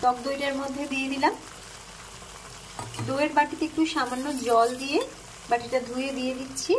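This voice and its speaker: A woman with a Bengali accent who hosts a cooking channel, calmly talking through a recipe step by step in her home kitchen. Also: high voice, fairly quick, noisy background. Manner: calm, plain instructional tone, listing ingredients.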